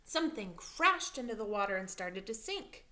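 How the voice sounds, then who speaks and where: A woman reading a picture book aloud like a teacher, pausing to explain and ask questions of the listeners. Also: medium pitch, very animated, sharp tone. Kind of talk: expressive storybook read-aloud with teaching asides.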